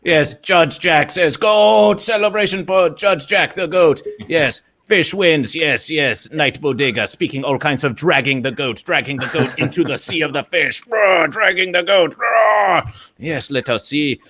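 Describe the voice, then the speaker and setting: A man's voice: on the high side, a little slow, sharp tone. A man voicing a quirky male mascot character who talks about himself in the third person, in stilted grammar with a constant "yes". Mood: playful. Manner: theatrical, swooping storytelling delivery. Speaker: guest. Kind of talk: in-character livestream chat.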